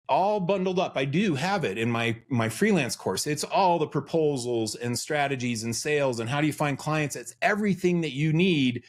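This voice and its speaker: A middle-aged man from the United States, a guest on an interview podcast, talking in an earnest, reflective, self-questioning way. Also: medium pitch. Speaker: podcast guest, freelance educator. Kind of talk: conversational interview.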